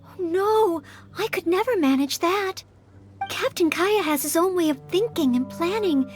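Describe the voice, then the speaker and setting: Very high-voiced earnest young maid-knight girl. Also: animated, a little slow. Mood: thoughtful, earnest. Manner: earnest, politely formal, hesitant. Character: earnest, polite, self-doubting teenage maid-knight girl. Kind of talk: English video game voice acting.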